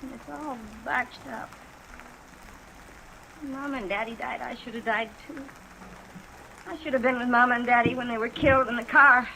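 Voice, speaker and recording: A woman's voice: on the high side, normal speed, vocal fry, pauses often, slight background noise, rough recording. An actress playing a desperate, bitter, fearful young woman in a 1950s radio drama, emotional and plaintive, on a vintage recording. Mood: weary, despairing. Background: steady rain sounds.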